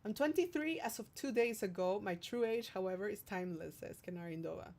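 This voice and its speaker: A woman's voice: mid-range voice, brisk, mellow. Female livestream host, mid-range voice, casual and affectionate, playful while reading and answering viewer messages. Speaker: streamer hosting a livestream, singer-songwriter.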